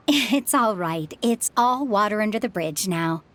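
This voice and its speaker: A woman playing a kind, contented mother in a video game, speaking politely, calmly and sincerely. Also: medium pitch, slow. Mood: wistful, accepting. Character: kind, contented widowed mother, theater prop worker. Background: faint game music.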